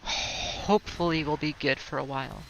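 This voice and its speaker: A woman's voice: sits low, fairly even intonation, normal speed, creaky, background noise. A woman streaming a game in casual, rambling chatter, slightly stuttering and congested from allergies. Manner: rambling, heavy on fillers and restarts.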